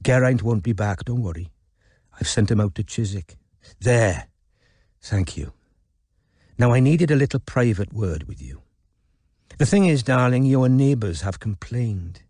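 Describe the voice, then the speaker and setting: A man voicing an affectionate, cajoling Welsh woman with a deep voice in an audiobook. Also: expressive, unhurried, pauses often, warm tone, dry recording, clean studio sound. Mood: coaxing. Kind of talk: audiobook character dialogue.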